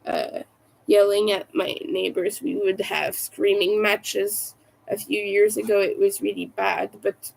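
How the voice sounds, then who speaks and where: A woman with a raspy, soft-spoken voice, sick and low on energy, chatting casually and hesitantly on a livestream. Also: fairly even intonation, measured pace, rough recording. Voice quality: raspy from vocal cord damage. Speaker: livestream host, crafter.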